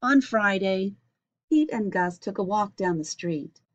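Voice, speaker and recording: Animated, unhurried woman reading a picture book. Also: mid-range voice. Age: middle-aged. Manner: expressive, deliberate phrasing for children. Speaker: storybook reader. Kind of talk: children's picture book read-aloud. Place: small indoor home room. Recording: dull lo-fi webcam recording.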